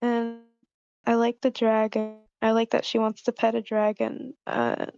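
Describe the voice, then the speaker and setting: A woman's voice: on the high side, lively intonation, measured pace, vocal fry, a little mellow, smooth, dry recording, soft background music. A woman giving casual feedback in an informal group chat, with dull, lo-fi sound. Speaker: table-read participant giving feedback. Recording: dull lo-fi call audio.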